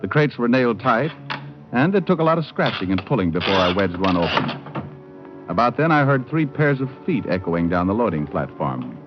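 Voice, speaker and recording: Low-voiced wry private eye. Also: male voice, sing-song, brisk. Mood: wry, cool. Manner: wry, dry wit. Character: wisecracking, cool male private detective. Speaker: lead and narrator. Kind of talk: 1940s-50s hardboiled radio narration. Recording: dull old radio recording. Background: music.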